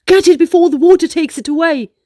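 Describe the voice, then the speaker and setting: Woman voicing an eager, high-pitched little boy. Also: fast, mellow, no echo, studio quality. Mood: excited. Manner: eager, exclaiming. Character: excited, curious young boy on a beach adventure.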